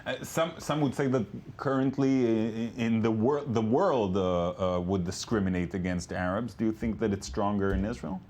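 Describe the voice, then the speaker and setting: A man's voice: fairly low pitch, moderate pace, hardly any pauses, darkish tone. A man hosting a studio discussion, asking questions with brief prompts and interjections. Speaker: talk show host.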